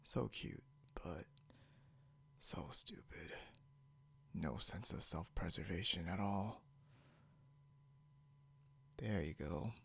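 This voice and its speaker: A low, heavily fry-laden male voice moves slowly, drifting between murmur and whisper over a noticeable hum. It is a possessive creature keeping a human captive, played by a man for ASMR roleplay, condescending and teasing yet grudgingly caring. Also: fairly bright tone, heavily acted. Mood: teasing, exasperated. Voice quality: gravelly, often breaking into whisper. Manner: halting, muttering, condescending. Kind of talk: ASMR roleplay, intimate second-person acting. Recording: noticeable background hiss or hum.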